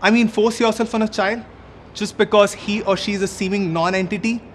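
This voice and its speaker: A man's voice: high-pitched, steady tone, fairly quick, fairly bright tone, slight background noise. An actor playing an exasperated, indignant man, arguing rhetorically in a short film monologue. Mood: outraged. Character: outraged, exasperated man arguing his point.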